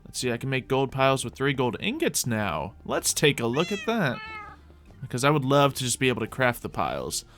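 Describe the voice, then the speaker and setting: A man's voice: medium pitch, very animated, brisk, fairly bright tone. Man musing through game commentary over music. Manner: mock-archaic, formal fantasy phrasing. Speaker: host of a gaming series. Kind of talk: gaming commentary, thinking aloud in light roleplay.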